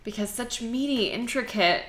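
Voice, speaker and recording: A woman's voice: on the high side, normal speed, fairly bright tone, echoey room, rough recording. A woman co-hosting a podcast, chatting casually and emphatically about favorite television performances. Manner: enthusiastic, emphatic. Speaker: podcast co-host.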